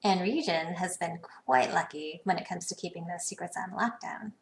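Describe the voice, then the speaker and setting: A woman's voice: on the low side, moderate pace, gravelly. An actress playing a confident, probing businesswoman in a video game, with a composed, knowing delivery. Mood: businesslike. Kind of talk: scripted game dialogue.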